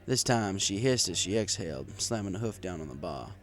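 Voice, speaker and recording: A man's voice: low voice, expressive, a little fast, fairly bright tone. A man reading a story aloud in the first person as a bartender narrator. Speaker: audiobook reader. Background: music.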